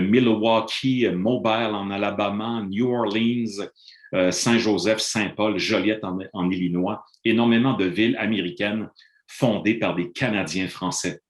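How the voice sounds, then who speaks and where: A middle-aged man gives a measured panel talk as a university lecturer over a video call, pausing often on "euh". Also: lowish voice. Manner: measured, explanatory, frequent "euh" hesitations. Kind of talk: online panel presentation. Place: room in Montreal, remote presentation. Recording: roomy video call audio.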